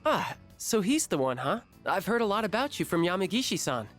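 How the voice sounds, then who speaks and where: An actor voicing an earnest, slightly hesitant teenage art club leader who fusses over others. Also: male voice, high voice, very animated, fairly quick, fairly bright tone, soft background music. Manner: earnest, hesitant, then fussy and caring.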